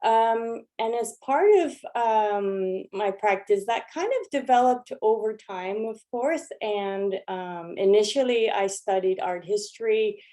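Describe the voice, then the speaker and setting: A woman gives an informal workshop talk over her slides. Her voice is mellow and soft, sitting at an everyday middle pitch. She speaks in a relaxed, chatty way, often pausing on ums and restarting her sentences. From Los Angeles. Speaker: workshop leader.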